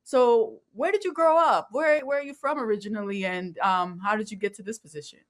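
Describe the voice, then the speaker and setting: A woman's voice: on the high side, brisk. A woman hosting a podcast, asking questions in a casual, affirming and lightly teasing way. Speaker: podcast host. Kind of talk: casual interview.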